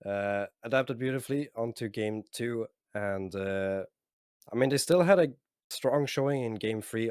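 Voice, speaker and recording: A man casting esports, giving a casual, halting post-game analysis full of fillers. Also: on the low side, darkish tone, clean tone, no room sound, clean studio sound. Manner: hesitant, many fillers and restarts, measured. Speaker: esports caster.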